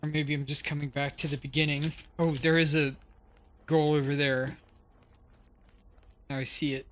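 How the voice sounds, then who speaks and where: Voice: medium pitch, little pitch movement, normal speed. A game streamer giving casual live commentary, musing aloud in an offhand way and reading some dialogue.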